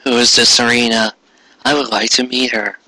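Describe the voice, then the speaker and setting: A deadpan new schoolgirl voiced by a man in a story reading. The voice sits low and flat, unhurried and unimpressed, in a dry close recording. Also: no echo, poor audio.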